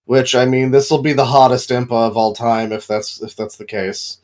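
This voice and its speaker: A man's voice: medium pitch, steady tone, moderate pace. A man giving blunt, sweary opinions in a casual podcast chat as one of the hosts. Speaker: podcast co-host.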